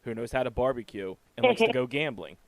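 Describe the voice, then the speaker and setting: A man's voice: medium pitch, wildly swooping pitch, brisk, creaky, no room sound. Male host, casual and crude joker, mid-range voice. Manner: casual, crude joking banter. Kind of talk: casual radio interview.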